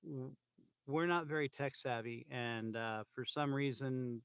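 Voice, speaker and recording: A man's voice: mid-range voice, fairly even intonation, a little slow, fairly bright tone, clear, resonant tone, no echo. A man from California hosting a casual podcast, rambling with lots of fillers and restarts, a bit loopy on pain pills, talking into an iPhone. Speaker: podcast host. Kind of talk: casual chat.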